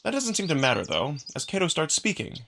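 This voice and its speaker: A man's voice: on the high side, wildly swooping pitch, brisk, creaky, sharp tone, a little hiss or hum. A man giving a dramatic first-person narration of a visual novel, acting as narrator. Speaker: narrator and voice actor.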